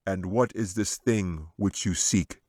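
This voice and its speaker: A man voicing a calm, solemn, kindly angel in a fantasy audiobook, speaking in a measured way. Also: sits low, dry recording, studio quality. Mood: questioning.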